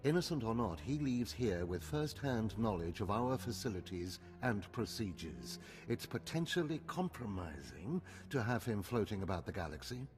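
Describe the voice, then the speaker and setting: An actor playing a stern, haughty older Sith overseer in a video game, clipped, lecturing and condescending. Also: male voice, on the low side, very animated, normal speed, few pauses. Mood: grave, lecturing. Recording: loud music behind.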